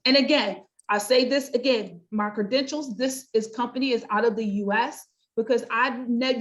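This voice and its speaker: A woman's voice: fairly high pitch, rough recording. A middle-aged woman with an American accent, talking about her own work in an emphatic, chatty interview style. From United States. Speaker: guest: pastor, counselor and coach.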